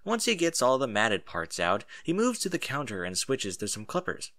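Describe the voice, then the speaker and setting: A man narrating a first-person story in an expressive reading style. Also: medium pitch, moderate pace.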